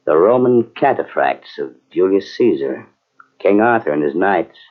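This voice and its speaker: A genial frontier commander talks with easy, assured authority. The low voice rises and falls freely in an avuncular, old radio drama style. The recording is dull, like an aged cassette. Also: male voice, expressive, moderate pace. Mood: wistful, musing. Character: genial, confident frontier cavalry commanding officer.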